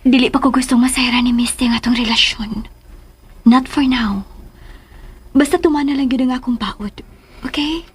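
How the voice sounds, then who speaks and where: A secretive Cebuano lover in a radio drama. Her voice is very high, and she is bossy and flirtatious with it. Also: normal speed, pauses often, slightly rough. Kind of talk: Filipino radio drama acting.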